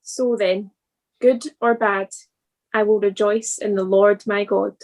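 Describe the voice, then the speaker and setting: A woman reading a scripted church devotional in an earnest, deliberate manner. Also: medium pitch, steady tone, a little mellow.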